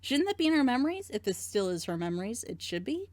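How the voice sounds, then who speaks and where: A woman's voice: on the high side, big pitch swings, fast talker, a little mellow, dry recording. A woman hosting a casual group discussion, talkative and opinionated as she gives her critique. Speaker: host of a group reaction show.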